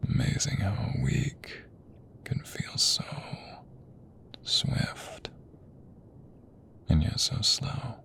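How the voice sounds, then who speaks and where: A man acting as a devoted, tender king in a romantic audio roleplay. His voice is very low and breathy, often slipping into a whisper. He speaks slowly and intimately, with plenty of feeling for his queen. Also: sing-song, bright, forceful tone, full character voice. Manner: slow, intimate, emotive delivery.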